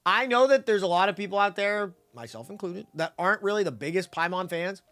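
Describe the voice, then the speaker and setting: Animated, bright-voiced American woman livestreaming. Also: medium pitch, brisk. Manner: playful, slangy, reacting to chat. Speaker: game streamer. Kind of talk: casual livestream commentary. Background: game music.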